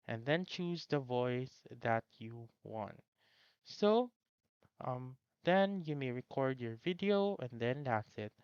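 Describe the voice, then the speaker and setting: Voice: medium pitch, smooth, no echo, muffled recording. A tutorial host giving simple step-by-step instructions for a phone app in a voice-over.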